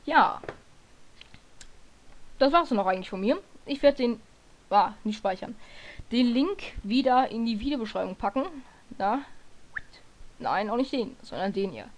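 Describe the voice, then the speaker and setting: A woman with a hoarse voice walks through a software tutorial in a loose, chatty way. She rambles, corrects herself mid-sentence and fills gaps with hesitations. Her pitch sits in an ordinary middle range. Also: lively intonation, normal speed, pauses often. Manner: rambling, self-correcting, lots of fillers. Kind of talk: casual screen-recording tutorial.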